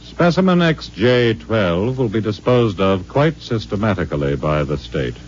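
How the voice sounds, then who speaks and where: Icy authoritarian official, low and sardonic, 1950s American radio style, muffled humming recording. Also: male voice, lively intonation, a little slow, a little hiss or hum. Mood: coldly menacing. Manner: haughty, clipped, formal, sardonic. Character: cold, authoritarian male director of a dystopian state.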